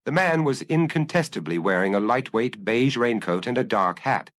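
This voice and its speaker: Man reading as an eager, animated press photographer. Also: mid-range voice, normal speed, dry recording, natural voice. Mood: keen, explanatory. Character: eager male press photographer explaining his findings.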